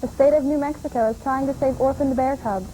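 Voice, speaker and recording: A woman anchoring a student television newscast, reading the stories in an even, measured way. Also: high voice, low-quality recording. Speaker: news anchor. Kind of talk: read news bulletin. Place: student TV studio.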